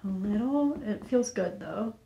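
Young woman patient, short answers, lots of fry, soft dark tone. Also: mid-range voice, animated, measured pace, highs cut off. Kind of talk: brief conversational answers. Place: small carpeted clinic office, indoors.

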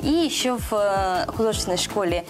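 A woman in her thirties explaining things as a guest in a studio interview, in a halting, pause-filled delivery. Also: mid-range voice, expressive, over music. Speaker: guest, animation festival organizer. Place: TV studio, seated. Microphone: lapel mic.

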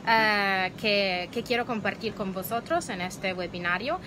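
A woman in her thirties, a native Italian speaker, talks about dog research in Spanish on a live phone stream, pausing often to search for words. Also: mid-range voice. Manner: searching for words, slow and hesitant. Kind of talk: live video chat. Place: outdoor patio. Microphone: phone at arm's length. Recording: noisy outdoor phone stream.

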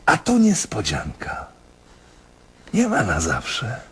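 A radio drama doctor with a devilish streak, speaking low and very hoarsely breathy. He is sardonic and domineering, toying with his patient and stretching words to needle him. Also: huge pitch swings, takes it slowly, full character voice. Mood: wry, knowing. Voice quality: husky. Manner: sardonic, domineering, drawling for emphasis.

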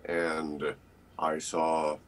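An older man giving a personal, deliberate interview answer with long pauses over a video call. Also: extremely low pitch, completely flat delivery. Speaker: voice actor. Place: indoor room lined with books.

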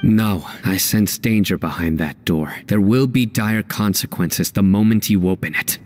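An actor playing a stern, principled, unyielding young enforcer in a video game, speaking in terse, solemn, measured lines. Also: male voice, deep voice, a little mellow, soft background music, lightly acted. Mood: stern, resolute. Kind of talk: dramatic video game voice acting.

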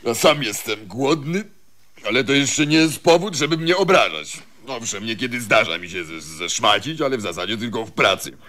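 A man's voice: mid-range voice, expressive, moderate pace, pushed and bright. An actor playing a pompous, touchy middle-aged husband and father, stiff and officious, in a radio comedy. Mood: offended.

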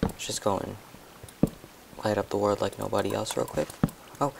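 A hoarse young man talks his way through a video game, rambling and chatting to viewers as he plays. His voice has a gravelly, croaky edge. The recording carries a clear hiss of noise underneath. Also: lowish voice, flat delivery, normal speed. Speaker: gameplay commentator. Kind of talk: casual gameplay commentary.

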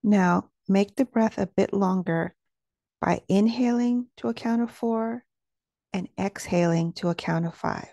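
A woman with a soft-edged, mellow voice sits slightly low in pitch, with a touch of creak. She guides a meditation in measured, soothing phrases, recorded very dry and close. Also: no echo, lo-fi sound. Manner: slow, soothing, measured instruction.

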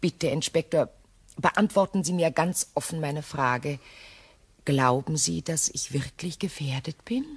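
An actress playing an anxious but composed, recently widowed English shop owner in a German crime radio play, polite and measured. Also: female voice, sits low, slightly rough, heavily acted. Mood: quietly worried.

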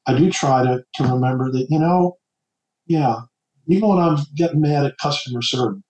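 A middle-aged man from New Jersey, an author guest on a casual podcast, talking in a halting way with many stammered repeats. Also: mid-range voice, darkish tone. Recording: echoey room.

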